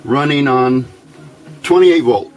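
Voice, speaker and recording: A man giving an informal, somewhat halting hands-on electronics demonstration as the host. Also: medium pitch, music in the background. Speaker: host of electronics tinkering videos. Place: indoor workbench.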